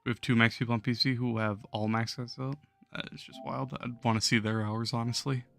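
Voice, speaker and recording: A hoarse young man losing his voice, chatting casually with viewers on a gaming livestream and chuckling often. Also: medium pitch, level tone, a little fast, a bit bright, faint music behind. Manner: laid-back, chuckles while talking to chat.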